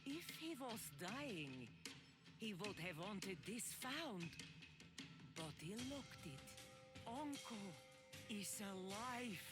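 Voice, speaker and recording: A woman's voice: medium pitch, sing-song, takes it slowly, long pauses. An actress playing an anxious, earnest young woman searching for her lost uncle, heard in game audio. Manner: earnest, pleading. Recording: game audio over loud music.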